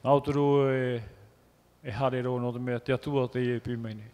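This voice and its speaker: A man giving a church sermon in short phrases, pausing for an interpreter after each one. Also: mid-range voice, little pitch movement, darkish tone, big-room sound. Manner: speaks in short phrases, trading lines with an interpreter. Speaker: preacher. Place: church hall.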